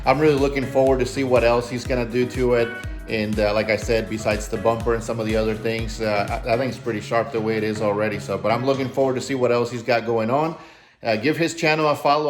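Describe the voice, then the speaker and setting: A man's voice: medium pitch, fairly even intonation, quick pace, soft background music. A man hosting a car vlog, chatting casually with informal enthusiasm. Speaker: car channel host.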